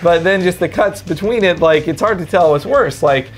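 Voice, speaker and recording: A man's voice: high voice, normal speed. A young man giving casual, conversational commentary as a video game pundit.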